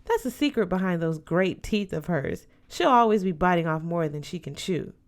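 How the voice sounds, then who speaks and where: A woman's voice: fairly low pitch, animated, normal speed. A woman voicing an older godmother aunt in an audiobook, firm, affectionate and dutiful, counselling emphatically. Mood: teasing. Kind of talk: audiobook character voice.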